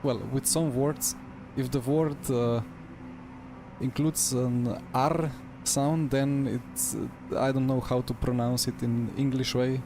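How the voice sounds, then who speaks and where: A man with a Slovenian accent talks through a wireless headset during a sim racing stream. His speech comes in short, fragmented bursts as he mutters to himself and his chat, in an ordinary mid-range voice. Game engine noise sits clearly beneath him. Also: mid-range voice, steady tone, slow. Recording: game car noise underneath.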